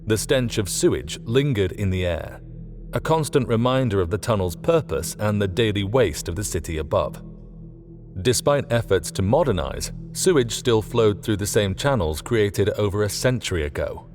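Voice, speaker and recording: A man's voice: on the low side, animated, moderate pace, a little mellow, faint music behind. A man reading scripted fiction as an audiobook narrator.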